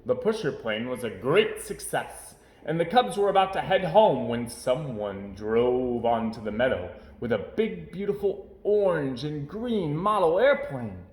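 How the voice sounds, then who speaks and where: A man's voice: medium pitch, animated, measured pace, hardly any pauses, a little mellow, roomy sound. A man narrating a children's picture book as a read-aloud. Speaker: storybook reader.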